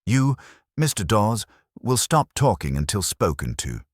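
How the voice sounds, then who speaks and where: A man's voice: lowish voice, big pitch swings, a little slow, no room sound, clean studio sound, voice pushed up. A man voicing an imperious, cerebral detective in an audiobook, pompous, formal and commanding as he passes cold judgement.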